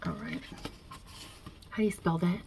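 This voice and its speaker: A woman's voice: sits low, wildly swooping pitch, a little hiss or hum. A woman voicing a non-binary God as a brash, irreverent mob boss with a put-on Italian-American New York-New Jersey accent, wry and offhand, in an ASMR roleplay. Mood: businesslike, kindly. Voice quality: husky, breathy, hushed. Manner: wry, offhand, blunt, hesitant pauses, swearing.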